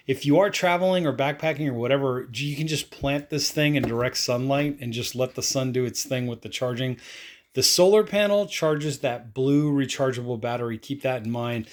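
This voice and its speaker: A man with a medium-pitched voice presents a hands-on product review. He speaks informally and without a script, rambling a little as he explains each step and talks through his actions aloud. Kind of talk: casual unscripted product demonstration.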